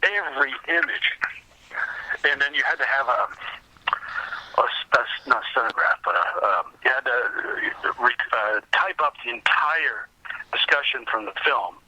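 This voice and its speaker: A man speaking casually as an interview guest, halting at times and repeating words for emphasis. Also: mid-range voice, lively intonation, bright, forceful tone, dry recording, lo-fi sound. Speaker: podcast guest, film maker.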